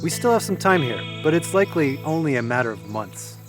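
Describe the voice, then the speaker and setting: An actor playing a thoughtful, gentle young older brother in an audio drama, speaking earnestly and reflectively. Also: male voice, fairly high pitch, big pitch swings, brisk, low-quality recording. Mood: sober, supportive. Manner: earnest, reflective, wordy phrasing. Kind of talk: audio drama dialogue acting. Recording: music and crickets behind the dialogue.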